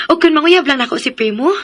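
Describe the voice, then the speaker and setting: A woman's voice: sits high, bright, forceful tone, heavily acted. A woman with a Filipino Cebuano accent acting a playful, affectionate young daughter in a radio drama, gushing and teasing.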